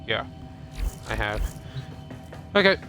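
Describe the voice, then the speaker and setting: A man's voice: on the low side, slow pace, music in the background. A man streaming a video game, thinking aloud casually and muttering to himself as he reads from the screen. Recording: steady loud background noise.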